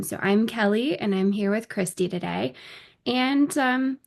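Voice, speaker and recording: A woman's voice: mid-range voice, gravelly. A woman in her thirties hosting a podcast, welcoming listeners and chatting casually with her co-host. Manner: friendly, welcoming, some fillers. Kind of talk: casual chat.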